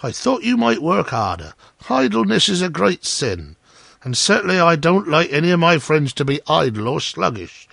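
A man's voice: a little high, gravelly, fairly bright tone, husky, dry recording, heavily acted. A man voicing a smug, self-righteous miller in a storybook tale, lecturing in a pompous, preachy manner. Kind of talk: storybook character voice.

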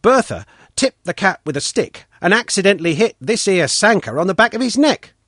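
A man's voice: high-pitched, very animated, a bit bright, dry recording, studio quality, voice pushed up, heavily acted. A man voicing a stubborn, cocky village boy. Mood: dogged telling. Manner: dogged, brisk, raised storytelling voice.

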